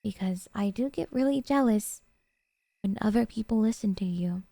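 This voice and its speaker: A woman playing a doting, jealous yandere girlfriend in an ASMR roleplay, coaxing and sweetly earnest with hesitant pauses. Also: medium pitch, gravelly, mellow, no echo. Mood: earnest, possessive.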